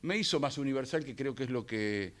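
A man's voice: medium pitch, animated, a little fast, a bit bright. Reflective French-born man, Buenos Aires Spanish. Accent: Rioplatense Spanish. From France, raised in Argentina. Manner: reflective, rambling with many fillers. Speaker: actor, interview guest. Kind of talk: casual radio interview.

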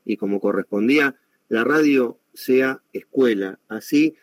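Argentine male radio host, mid pitch, dry close sound, brisk and friendly. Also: dry recording. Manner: brisk, friendly, speeds up in asides. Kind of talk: radio talk show hosting.

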